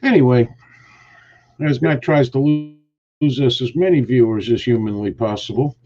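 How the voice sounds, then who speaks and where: A man's voice: medium pitch, moderate pace, mellow, lo-fi sound. A man hosting a casual live stream, greeting viewers and teasing his co-hosts in a joking, rambling way. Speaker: host.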